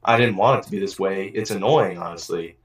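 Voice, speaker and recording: A man's voice: sits low, fairly quick. A man casually reacting to a football video, joking and mock-bragging. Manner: joking, mock-boastful, playful asides.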